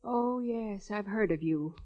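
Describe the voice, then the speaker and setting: An American actress playing a businesslike female gem dealer, crisp and matter-of-fact, in old-time radio drama style. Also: medium pitch, slow, warm tone, natural voice. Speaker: radio actress in supporting part. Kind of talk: 1940s-50s American radio drama acting. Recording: dull old radio recording.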